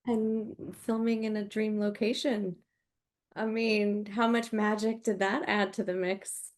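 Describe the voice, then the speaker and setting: A woman interviewing an actor, asking short, brisk prompting questions. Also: mid-range voice, level tone.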